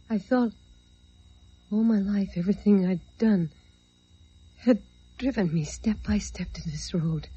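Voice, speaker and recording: A woman's voice: mid-range voice, mellow, husky. An actress playing a dazed, wistful woman in an old radio drama, speaking hesitantly and colloquially after a crash. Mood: dazed, reflective. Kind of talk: 1940s radio drama acting. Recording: muffled old radio recording.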